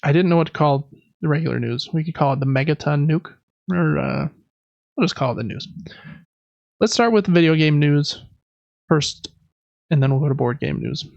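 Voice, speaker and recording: Higher-voiced man with a gravelly edge. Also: normal speed, pauses often, a little mellow, dry recording, dull audio. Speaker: podcast host. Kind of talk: casual board game review chat.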